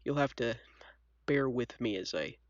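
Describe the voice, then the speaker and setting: Scratchy, congested low-voiced young woman chatting. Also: a little slow, muffled recording. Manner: self-deprecating, informal. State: sick with a cold, sniffly. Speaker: video host. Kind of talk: casual chat, storytelling.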